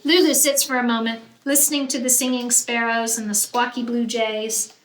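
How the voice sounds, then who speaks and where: A woman reading a picture book aloud to children like a teacher, with expressive, emphatic narration that draws out key words. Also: on the high side, moderate pace. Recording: roomy echo, faint background noise.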